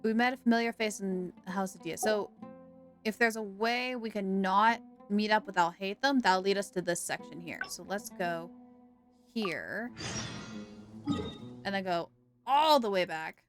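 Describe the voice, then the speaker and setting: A woman's voice: mid-range voice, measured pace, pauses often, soft background music. A woman live streamer giving casual, chatty commentary on a video game, thinking aloud and repeating herself.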